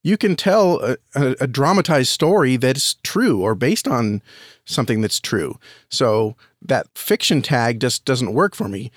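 A man's voice: mid-range voice, sing-song, a little slow, no room sound, clean studio sound. A man being interviewed on a podcast, explaining at length in a conversational way and often repeating words as he finds his phrasing. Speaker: podcast guest, audio drama producer and reviewer.

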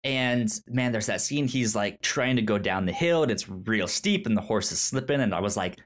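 A man talks casually as a film podcast co-host in an informal, rambling way. His speech is halting, with a stutter that repeats sounds and syllables before words come out. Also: lowish voice, lively intonation. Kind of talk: casual movie discussion.

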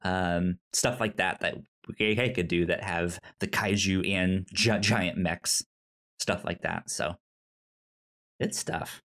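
A man co-hosting a podcast in casual chat, halting and stammering over repeated words. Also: deep voice, vocal fry, fairly bright tone. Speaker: podcast co-host. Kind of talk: casual chat about shows and films.

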